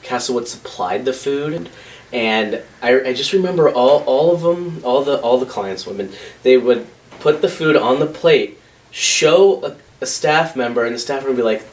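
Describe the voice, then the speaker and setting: A man in his thirties in a documentary interview, talking hesitantly with many pauses and fillers. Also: mid-range voice, animated. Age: 30s. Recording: voice from a distance with faint noise.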